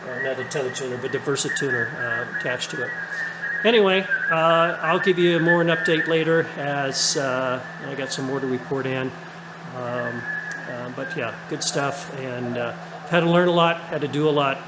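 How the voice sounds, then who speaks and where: A man gives a casual, halting, upbeat update as an amateur radio hobbyist in his radio room at home. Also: medium pitch, steady tone. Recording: echoey room, clear buzzing radio static. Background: radio static and buzzing.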